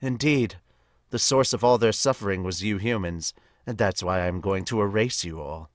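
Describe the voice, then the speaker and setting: A man's voice: fairly low pitch, normal speed, no room sound, studio quality, voice pushed down, natural voice. A man voicing a cold, vengeful elf who despises humans, grave and menacing, in an amateur manga dub. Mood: cold menace.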